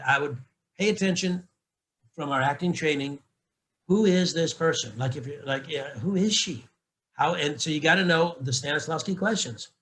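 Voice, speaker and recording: A man with a bright, forward voice pitched a little high, talking loosely and candidly. He jokes, doubles back on his words and restarts sentences often, like a coach chatting with peers. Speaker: narration coach. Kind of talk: casual panel talk.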